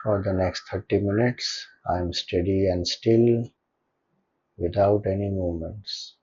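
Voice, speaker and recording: A man with an Indian accent leading a guided meditation as a spiritual teacher, speaking calmly and gently with deliberate pauses. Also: low-pitched, muffled recording, slight background noise.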